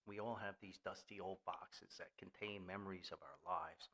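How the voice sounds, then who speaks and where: A man's voice: deep voice, steady tone, moderate pace, sharp tone. An older man hosting a casual, rambling podcast in a folksy, jokey manner. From Paterson, New Jersey, US. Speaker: podcast host.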